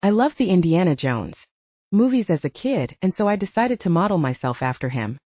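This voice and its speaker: An earnest, confident man, a scholarly werewolf voiced by a woman narrator, talks in an audiobook romance. The voice is mellow and husky, conversational, on a slightly dull recording. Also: lowish voice, sing-song, fairly quick, no room sound. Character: earnest, self-assured male professor and werewolf, adult. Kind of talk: audiobook character dialogue.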